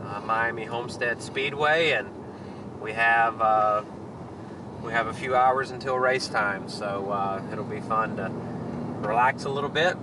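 A man in his thirties talks casually while he drives a car around a racetrack, pausing often with fillers. The in-car camera mic sits a little away from him. A loud engine roars beneath his voice. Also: mid-range voice, distant mic. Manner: relaxed, many fillers. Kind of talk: casual commentary while driving. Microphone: camera mounted in the car. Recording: loud engine noise, mic set back. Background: engine and road noise.

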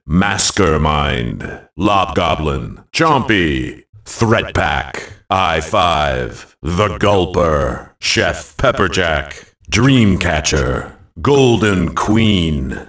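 An actor playing a bold, dramatic video game announcer, making theatrical, punchy calls. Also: male voice, low-pitched, a bit bright, roomy sound, recorded from a distance, exaggerated voice. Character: booming male arcade-style game announcer, bold and dramatic.

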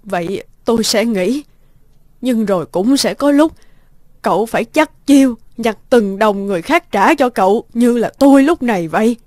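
A woman's voice: on the high side, expressive, moderate pace, a little mellow, no echo, studio quality, lightly acted. A woman voicing a humble, dignified middle-aged housekeeper in a cartoon, earnest and deferential. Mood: hurt, firm. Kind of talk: animated moral-tale dubbing.

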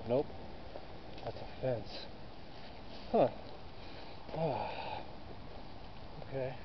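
A man in his thirties talks loosely to the camera as he hikes, muttering and rambling as he goes. His voice is neither high nor low, caught on a phone held at arm's length. The sound is muffled, with clear outdoor noise behind him. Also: extremely slow. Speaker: vlogger. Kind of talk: casual hiking vlog. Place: outdoors in woods by a river.

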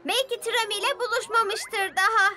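A woman's voice: extremely high pitch, fairly even intonation, moderate pace. A woman voicing an eager, childlike little bus in a children's cartoon dub, exclamatory and easily dismayed. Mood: worried. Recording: music behind the voice.

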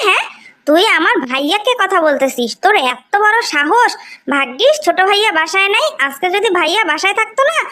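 A very high, piercing female voice with a Bangladeshi accent , acted by a woman, plays a young cartoon sister. She is brash, haughty and spiteful, always ready to scold. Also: bright, forceful tone, exaggerated voice. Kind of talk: amateur cartoon story dubbing.

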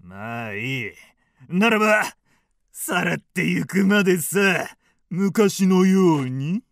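An actor playing a brash, possessive, hot-tempered bridegroom with theatrical delivery in a radio drama. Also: male voice, high-pitched, lively intonation, creaky, dry recording, clean studio sound, exaggerated voice. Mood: wooing, possessive. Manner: theatrical, drawn-out calls.

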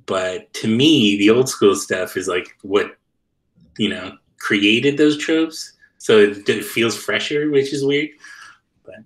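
Low-voiced guy, loose slangy talker. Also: takes it slowly. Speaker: interview guest, comic creator. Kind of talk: casual chat. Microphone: video call.

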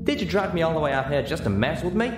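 A man's voice: a little high, big pitch swings, fast, echoey room, music in the background, voice pushed up. A man voicing a feisty, folksy country mare pony with an exasperated, exclamatory manner, reading a story.

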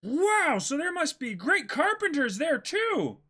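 A man's voice: very high-pitched, big pitch swings, takes it slowly, pushed and bright, voice pushed up, full character voice. A man voicing an eager, brash, naive young pirate in a boyish, exclamatory way for a manga reading. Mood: excited.

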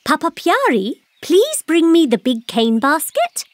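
A woman voicing a gentle, cheerful mother parrot in a children's cartoon, with a nurturing sing-song manner. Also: sits high, wildly swooping pitch, measured pace, no echo, heavily acted. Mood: encouraging.

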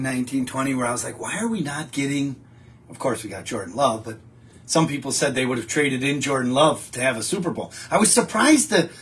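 A man's voice: medium pitch, a bit bright. A middle-aged man, hosting a casual live stream and answering viewer comments in a rambling, chatty way. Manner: chatty, rambling, frequent restarts. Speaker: show host reading viewer comments. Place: home studio with a wallpaper backdrop.

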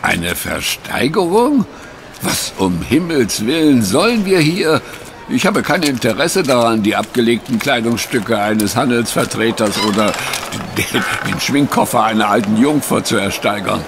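A man acting in a German audio drama as a bewildered, troubled gentleman, telling his account in a solemn, halting way. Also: mid-range voice, sing-song, a little hiss or hum.

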